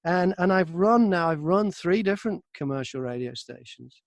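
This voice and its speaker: Man, higher-pitched, very dry close sound. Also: lively intonation, normal speed, no room sound. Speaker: audiobook narrator and radio broadcaster. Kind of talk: casual interview storytelling.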